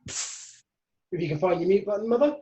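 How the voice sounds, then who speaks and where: A man's voice: sits high, lively intonation, moderate pace, mellow. A man on a family video call, politely and hesitantly working out how he is related to the others. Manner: hesitant, polite, lots of fillers. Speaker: younger relative. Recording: dull, echoey video call audio.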